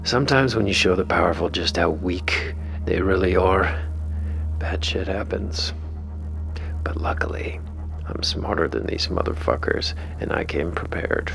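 A troubled tech founder in an intimate audio drama. His voice is low and deeply creaky, with lively swings in pitch. He confides haltingly and frankly, swearing as he goes. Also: in a whisper, soft background music, full character voice. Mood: confiding, resolute. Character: troubled male tech founder, candid, leaving a posthumous message.

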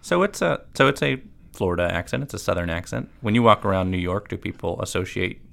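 A man's voice: sits low, fast, creaky. A man hosting an interview podcast who asks casual questions, hesitant and full of ums. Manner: relaxed, hesitant, many ums. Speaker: podcast host.

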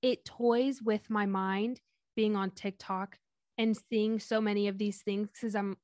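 Excitable woman podcaster, very dry close sound. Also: medium pitch, measured pace, dry recording. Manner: excitable, giggly. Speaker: podcast co-host. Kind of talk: casual chat.

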